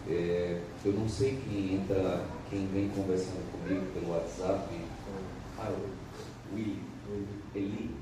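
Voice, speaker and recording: A man's voice: low voice, fairly even intonation, measured pace, noisy background. A man from Pernambuco with a Pernambuco accent, preaching as a visiting evangelist with formal, emphatic scripture reading in a church. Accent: Pernambuco Brazilian Portuguese. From Pernambuco, Brazil. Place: church service. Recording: echoey church hall, from far off.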